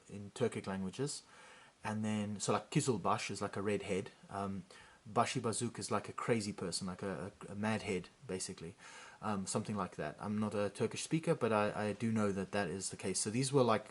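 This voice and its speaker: A man, somewhat low-pitched, talks through his hobby haul in a loose, rambling way. He restarts sentences often and scatters "um" and "uh" throughout. His voice picks up when he holds figures to the camera. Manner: rambling, many fillers and restarts; gets livelier when showing figures up close. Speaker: hobby channel host. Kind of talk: casual chat about miniature figures.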